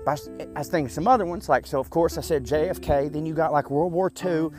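A man's voice: fairly high pitch, quick pace, music in the background. A man in his thirties with a Southern US accent, talking casually in a chatty, profane, rambling way as a podcast host. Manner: chatty, profane, self-deprecating, frequent fillers.

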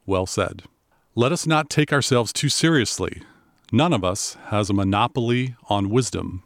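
A man with a baritone voice co-hosting a podcast in casual, rambling chat with fillers and restarts. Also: animated, normal speed, creaky, no echo, clean studio sound. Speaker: podcast co-host.

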